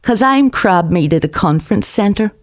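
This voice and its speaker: Narrator as a defiant, high-pitched café worker woman. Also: animated, moderate pace, close to an everyday voice.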